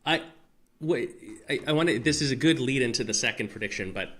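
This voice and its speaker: A man talking casually on a podcast, animated and rambling, with restarts and the odd swear. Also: medium pitch, brisk. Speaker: podcast guest. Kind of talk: casual conversation.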